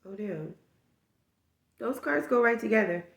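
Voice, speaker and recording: A woman's voice: a little high, animated, a little slow, vocal fry, echoey room. A woman with a stuffed-up nose giving a casual tarot reading, musing aloud and rambling through possibilities. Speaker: tarot reader.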